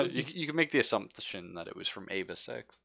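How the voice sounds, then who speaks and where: Low, bright-voiced man game mastering. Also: very animated, normal speed, creaky. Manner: hesitant, searching for words. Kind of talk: casual improvised narration of a tabletop game.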